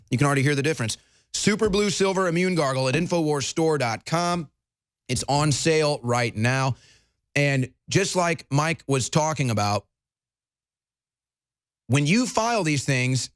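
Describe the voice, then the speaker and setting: Talk host, male, raspy, pushy ad-read delivery, dry close studio sound. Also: no echo. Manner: pushy, rapid salesman-like pitches. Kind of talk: talk radio hosting with ad reads.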